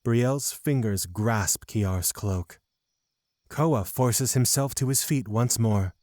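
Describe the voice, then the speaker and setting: A man's voice: medium pitch, takes it slowly, darkish tone, no room sound. Man, fantasy audio drama narration, mid-range voice, very dry recording.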